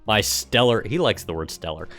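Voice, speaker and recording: Sarcastic low-voiced man streaming over guitar music. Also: animated, moderate pace, a bit bright. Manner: mocking, sarcastic. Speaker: streamer and gamer. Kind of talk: casual livestream commentary with read-aloud.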